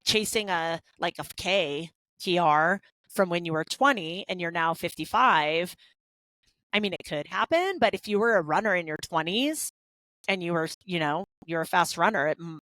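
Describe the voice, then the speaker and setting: Woman, 30s, podcast co-host: low-ish, chatty, candid, dry studio sound. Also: animated, normal speed, a bit bright, no echo, rough recording. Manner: chatty, candid, many fillers and restarts. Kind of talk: casual podcast conversation.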